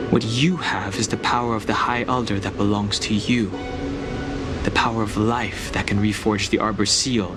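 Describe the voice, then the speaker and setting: Slow, low-voiced reserved wandering warrior. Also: male voice. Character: quiet, gentle, reserved wandering warrior man. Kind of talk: video game voice acting. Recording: loud music under the voice.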